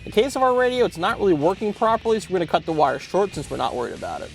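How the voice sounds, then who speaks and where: A man presenting an instructional product demonstration as a tutorial host, explaining things clearly step by step. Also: sits high, big pitch swings, very fast, music in the background, poor audio. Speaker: host of car parts installation tutorial.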